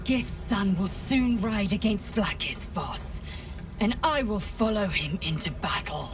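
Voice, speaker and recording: A woman's voice: medium pitch, lively intonation, unhurried, natural voice. An actress voicing a proud, fierce githyanki warrior woman in a video game, solemn, clipped and ceremonial in manner. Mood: solemn, devout. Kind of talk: dramatic video game dialogue. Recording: distant, with music underneath.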